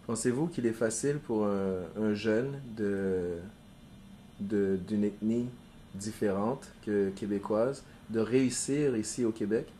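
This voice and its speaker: A man's voice: fairly low pitch, slow pace, mellow, slight background noise. A man interviewing a guest, asking short, slightly hesitant questions.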